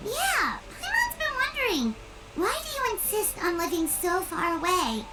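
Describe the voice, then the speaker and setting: A woman voicing a tiny, childlike, chirpy and nosy fairy companion in a video game, blunt and squeaky. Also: sing-song, unhurried, heavy background noise, full character voice. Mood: curious, cheeky. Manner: squeaky, blunt, refers to herself by name. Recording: game dialogue under loud music.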